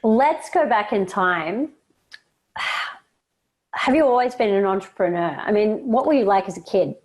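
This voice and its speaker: A woman's voice: medium pitch, animated, unhurried, darkish tone. A woman hosting an interview podcast, presenting and putting questions to a guest. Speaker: podcast host. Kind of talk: scripted introduction and interview questions.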